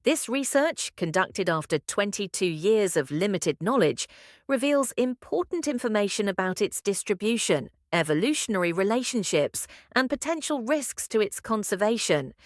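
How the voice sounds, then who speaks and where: A woman's voice: mid-range voice, big pitch swings, normal speed, no echo, studio quality. A woman reading a scripted, news-style passage aloud as a model for English test practice.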